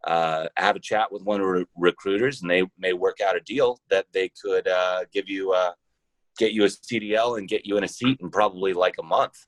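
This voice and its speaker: A man speaking casually as an interview guest, pausing often to think as he goes. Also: deep voice, no room sound, poor audio. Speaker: podcast guest, role-playing show founder and trucker.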